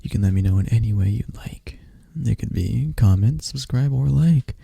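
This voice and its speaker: A man hosting an ASMR channel speaks to his viewers in a relaxed, soft ramble. His low voice is dark and husky, with a gravelly edge. The sound is close and dry. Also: normal speed, no room sound. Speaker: ASMR creator. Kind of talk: casual outro to viewers.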